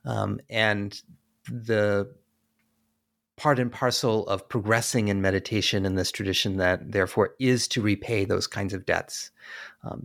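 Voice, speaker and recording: A man being interviewed as an author and scholar, explaining ideas in a measured way with frequent ums and uhs. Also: lowish voice, fairly bright tone.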